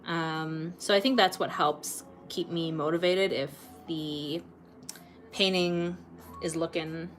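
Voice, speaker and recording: A woman's voice: low voice, fairly even intonation, slow pace, soft background music. Chatty Canadian woman livestreaming art. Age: late 20s. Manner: chatty, rambling, laughs often, many ums. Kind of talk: casual livestream chat.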